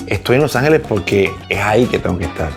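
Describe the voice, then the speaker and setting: Fast, deep-voiced Puerto Rican man. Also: lively intonation, creaky, soft background music. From Puerto Rico. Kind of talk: personal documentary interview.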